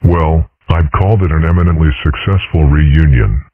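A man voicing a comic strip character in a measured, knowing tone, with a husky voice. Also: extremely low pitch, no echo, phone-quality sound. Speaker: comic dub voice actor.

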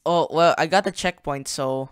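Young man chatting over gameplay, smooth voice. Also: fairly high pitch, moderate pace, no echo. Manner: chatty, thinking aloud, slangy. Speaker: gaming channel host. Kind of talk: casual gameplay commentary.

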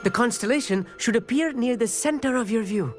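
Fantasy game companion: nervous, earnest schoolboy astronomy nerd, lively intonation, music behind. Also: male voice, extremely high pitch, fairly quick. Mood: excited, proud. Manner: earnest, slightly formal, chatty. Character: eager, bookish, nervous teenage boy astronomer. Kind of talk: scripted game dialogue.

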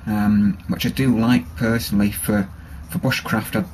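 A man with a low, flat voice talks through a knife he's holding, in a relaxed, halting way full of ums and restarts. He's close to the camera in a workshop, with a faint hiss underneath. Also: rough recording. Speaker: bushcraft hobbyist showing a knife. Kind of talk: casual show-and-tell. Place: workshop with a grinder and workbench.

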